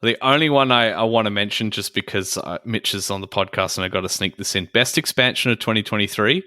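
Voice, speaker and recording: A man's voice: fairly low pitch, fast, sharp tone, dry recording, studio quality. A man co-hosting a casual board game podcast, enthusiastic about the games he recommends. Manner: enthusiastic, upbeat. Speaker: podcast co-host. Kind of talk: casual chat.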